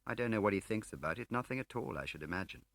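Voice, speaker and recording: A man voicing an urbane, flippant gentleman spy in an audiobook, with a droll upper-class English manner. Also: lowish voice, expressive, rapid-fire, no room sound. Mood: wry. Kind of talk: audiobook character acting.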